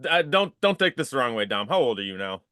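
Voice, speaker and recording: Man, forties, animated, emphatic, dry close sound. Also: medium pitch, fast, no room sound. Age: 40s. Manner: animated, emphatic, swears when surprised. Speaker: guest, former football player. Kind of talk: casual reminiscing chat.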